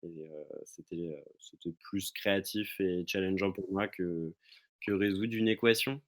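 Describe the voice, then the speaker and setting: A man's voice: sits low, fairly even intonation, a little slow. A man chatting casually and telling personal anecdotes as a podcast guest, often restarting and searching for his words. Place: remote recording from own location.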